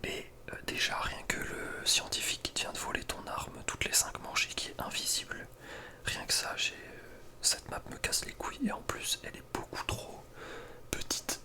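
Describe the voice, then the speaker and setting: A young man whispering casual ASMR gaming commentary in an informal, slangy, hesitant way. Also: moderate pace. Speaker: ASMR video creator.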